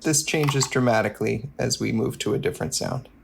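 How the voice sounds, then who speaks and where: A man's voice: mid-range voice, a little fast, gravelly, music in the background. A man narrating a scripted technical tutorial in a methodical, explanatory way, as a product demonstrator.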